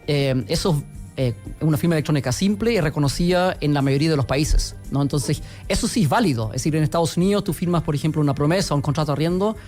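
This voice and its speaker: A man's voice: fairly high pitch, quick pace, darkish tone, faint music behind. A man with a German accent, giving hesitant, filler-heavy answers as a guest on a radio interview. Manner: hesitant, many fillers and restarts. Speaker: guest, company executive.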